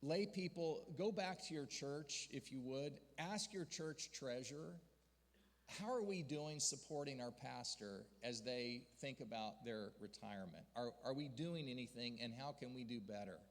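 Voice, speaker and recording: A man's voice: mid-range voice, animated, moderate pace. A man giving an earnest church report and story to a gathering, building to emphatic peaks. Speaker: denominational church leader speaking to a district gathering. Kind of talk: sermon-style report with slides. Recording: long hall reverb.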